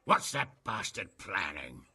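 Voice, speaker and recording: An actor playing a hot-tempered, foul-mouthed lord in a video game, gravelly and raspy. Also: male voice, low-pitched, very animated, a little slow, bright, forceful tone, exaggerated voice. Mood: demanding, incredulous. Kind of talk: video game cutscene acting.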